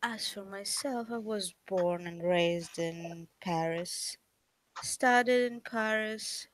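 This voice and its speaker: A woman voicing a wry, measured vampire woman from Paris. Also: medium pitch, lightly acted, low-quality recording. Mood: reflective.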